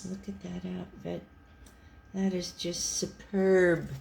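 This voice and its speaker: Slow, deadpan storybook pet read by a woman. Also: fairly low pitch, gravelly, slight background noise, heavily acted. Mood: dry, tolerant. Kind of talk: scripted storybook narration.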